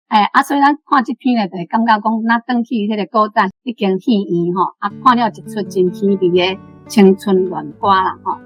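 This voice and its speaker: A woman's voice: medium pitch, normal speed, muffled recording, faint music behind. A woman in her sixties with a Taiwanese accent, chatting about a story and her own memories as a language teacher. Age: 60s. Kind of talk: casual book discussion with personal reminiscence.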